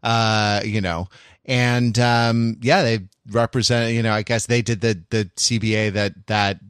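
A man's voice: lowish voice, fairly even intonation, a little slow, a bit bright, smooth, no room sound, clean studio sound. A man with an American accent co-hosting a podcast, chatting casually in a digressive, jokey way. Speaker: podcast co-host. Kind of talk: casual chat.

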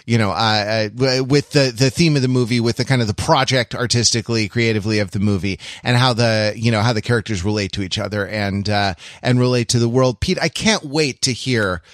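A man hosting a casual film podcast, speaking in halting, wordy, roundabout sentences. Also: medium pitch, dry recording, studio quality. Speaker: podcast host.

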